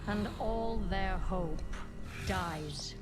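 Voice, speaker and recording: An actress playing an imperious undead elf warlord in a game cinematic, haughty, deliberate and menacing. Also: female voice, mid-range voice, over music. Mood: cold menace. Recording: film score behind.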